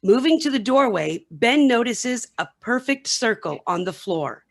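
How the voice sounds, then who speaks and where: A woman's voice: a little high, animated, a little slow, vocal fry. A woman reading scripted narration for a radio play.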